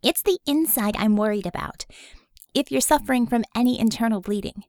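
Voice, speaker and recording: A woman voicing a sarcastic female medic, quick, wry and clinical. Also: fairly high pitch, darkish tone, dry recording, studio quality, lightly acted. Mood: brisk.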